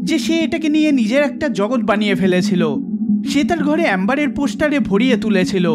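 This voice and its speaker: A man narrating a scripted documentary in a dramatic storytelling manner. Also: very high voice. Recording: loud music behind the voice. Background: loud background music.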